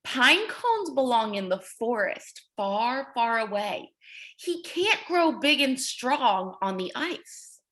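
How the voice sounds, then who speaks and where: A woman voicing a wise old grandfather penguin in a storybook read-aloud, gently firm. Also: fairly high pitch, very animated, slow pace, full character voice. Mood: grave.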